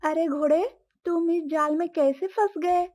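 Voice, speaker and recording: A woman voicing a kind, caring cat in an animated moral story. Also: extremely high pitch, measured pace. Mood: concerned, kind. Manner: caring, soothing. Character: kind, helpful female cat, friend to all animals.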